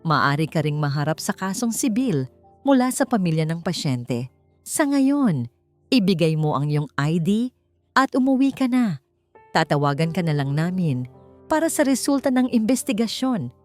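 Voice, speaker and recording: A stern female HR manager with a Filipino accent, played by a woman narrator. She is cold, official and curt, in a lowish voice, as heard in an audiobook story with soft music. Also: big pitch swings, moderate pace. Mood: stern, dismissive.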